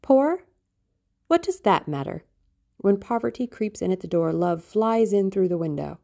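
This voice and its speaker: A woman's voice: mid-range voice, animated, normal speed, gravelly, warm tone. A woman voicing a dreamy, impulsive teenage girl in love, theatrical and exclamatory, in an audiobook. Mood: rapturous. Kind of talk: audiobook character acting.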